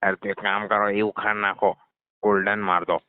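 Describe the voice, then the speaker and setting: A man's voice: on the low side, little pitch movement, normal speed, no echo, close to an everyday voice. A man playing a cheeky, evasive garage customer, nonchalantly needling and stalling in a scripted comedy phone call. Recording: dull lo-fi phone call recording.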